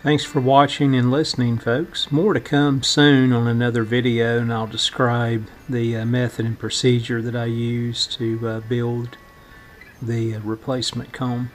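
Even-toned man narrating hands-on work. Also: mid-range voice, unhurried, a little hiss or hum. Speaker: host of radio restoration videos. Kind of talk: casual hands-on workshop narration. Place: indoors at a workbench.

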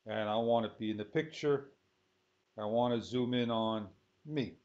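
A man giving an unscripted software tutorial, thinking aloud in short, halting phrases as he works. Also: medium pitch. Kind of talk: unscripted screen-recorded tutorial. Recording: dull lo-fi sound.

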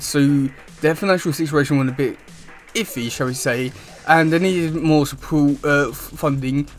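A young man chatting casually to camera as a YouTube host, with a halting, wordy delivery. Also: a little high. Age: young adult. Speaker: YouTube host reviewing comic news. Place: small room indoors at home.

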